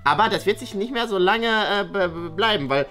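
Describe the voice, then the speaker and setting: A woman's voice: mid-range voice, expressive, a little fast, a bit bright, lightly acted. A woman playing a prim, precocious schoolboy in a children's comedy skit, pedantic and fussy, tripping over words. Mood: pleased, earnest. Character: prim, precocious schoolboy, know-it-all model pupil.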